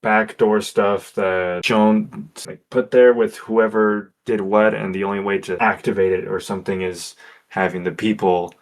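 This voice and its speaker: A man's voice: fairly low pitch, fairly even intonation, unhurried. A man playing a tabletop roleplay game, talking casually in a wary, argumentative way with some swearing.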